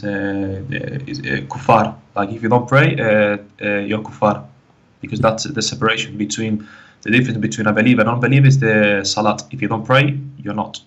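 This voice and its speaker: A man with a Spanish accent from the Canary Islands, a guest in a casual interview, speaking haltingly and filling pauses with "like, you know". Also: lowish voice, a bit bright, lo-fi sound. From Canary Islands, Spain.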